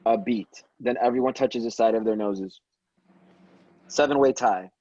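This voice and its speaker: A man narrating stage directions at a table read, in dull low-fidelity sound. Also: measured pace, dark tone. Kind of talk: reading screenplay directions aloud.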